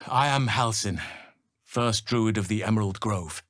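A man voicing a noble, gentle-mannered archdruid in a fantasy video game, speaking in a measured, earnest, formal way. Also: fairly low pitch, dry recording, clean studio sound. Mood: grave, measured. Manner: measured, earnest, formal, old-fashioned phrasing.

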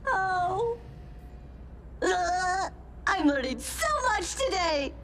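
Tiny chirpy robot assistant for a game, super high voice, bouncy, naive and way too polite. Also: female voice, animated, very slow, a bit bright, slight background noise, full character voice. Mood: chirpy, eager. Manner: over-polite, literal, formal business jargon. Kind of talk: video game voice acting.

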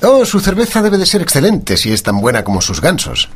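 An actor playing a shrewd, courteous and confident gentleman detective in a period mystery drama, articulate and composed. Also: on the high side, wildly swooping pitch, brisk, slight background noise. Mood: genial.

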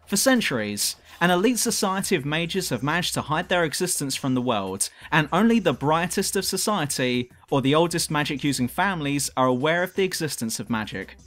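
A man's voice: a little high, animated, a little fast, hardly any pauses, a bit bright. A man reading a scripted review narration in a dramatic, emphatic way, as the presenter of a countdown video. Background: music.

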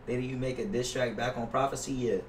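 A young American man talks to his stream chat in a slangy, griping, swear-heavy way. His medium-pitched voice comes through a roomy, slightly distant mic with faint noise behind it. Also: fairly even intonation, fairly quick. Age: young adult. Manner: slangy, profane, complaining. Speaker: livestreamer playing a basketball video game. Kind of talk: casual livestream chat with viewers. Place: indoors at a gaming setup.

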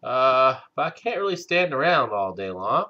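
A man hosting a game let's play, stuffed up from a cold, talking slowly with many hesitant fillers. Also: mid-range voice, sing-song, lo-fi sound. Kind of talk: casual gameplay commentary.

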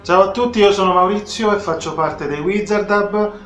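A man's voice: sits high, moderate pace, fairly bright tone, soft background music. A young man talking casually and hesitantly to camera in an echoey room at home. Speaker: amateur voice actor. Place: small indoor room at home. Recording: roomy echo.

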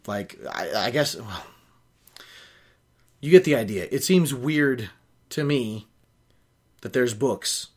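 A young man hosting a reading show, reading a novel aloud and breaking off into opinionated, nitpicking commentary. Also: mid-range voice. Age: young adult. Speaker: host of a book-reading show. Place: small home office, indoors.

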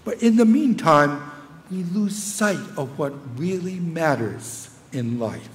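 An older man with a New England accent, preaching a measured sermon from a church pulpit microphone, lifting his voice on scripture lines. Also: on the high side, expressive, slight background noise. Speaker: pastor. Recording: long church reverb.

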